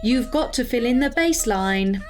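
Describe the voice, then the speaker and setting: Slow-spoken, level-headed female AI. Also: a little high, animated, faint music behind. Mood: urgent. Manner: measured, reasoning. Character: level-headed female artificial intelligence, peacemaker and leader. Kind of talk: audio drama acting.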